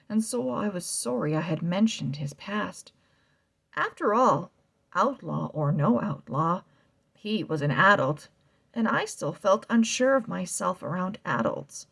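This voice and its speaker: A woman reading a children's novel aloud, slow and expressive, sometimes dropping to a soft whisper. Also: fairly low pitch, gravelly, husky. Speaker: read-aloud narrator.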